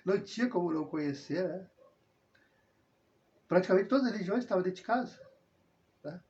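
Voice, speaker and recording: A man's voice: high voice, expressive, brisk, a little mellow. A man rambling through a casual personal monologue, full of tag questions and self-corrections. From southern Brazil. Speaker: religious video host. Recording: dull lo-fi sound.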